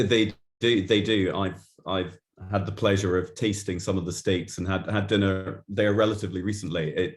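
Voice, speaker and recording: A man's voice: deep voice, reverberant. A man with a Glaswegian accent, a guest author chatting in an interview, often stammering and repeating words as he starts sentences. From Glasgow, Scotland. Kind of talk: casual interview chat.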